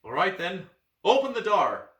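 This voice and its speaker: A man's voice: high-pitched, very animated, moderate pace, pushed and bright, reverberant, distant mic, voice pushed up, full character voice. A man voicing a bold young warrior boy. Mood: bold heroic. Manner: old-fashioned, boastful heroic speech. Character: brash young male warrior from the past.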